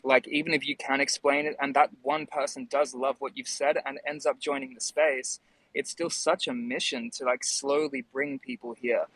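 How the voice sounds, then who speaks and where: A man's voice: mid-range voice, fairly even intonation, moderate pace, fairly bright tone, dry recording, poor audio. A man in a casual discussion, explaining his thoughts in a reflective way. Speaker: panelist in an online music discussion. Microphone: phone.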